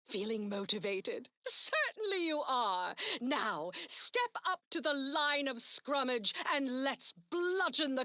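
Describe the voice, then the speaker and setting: A woman playing a brash, folksy corporate tycoon in a video game, giving theatrical, grandiose propaganda pep talks and sales pitches. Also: fairly bright tone, dry recording, clean studio sound, full character voice. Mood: rousing pep talk. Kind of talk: theatrical corporate motivational broadcast.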